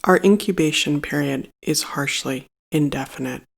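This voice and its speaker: A meditation guide reading a scripted narration in a measured, solemn and instructive manner. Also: medium pitch, gravelly.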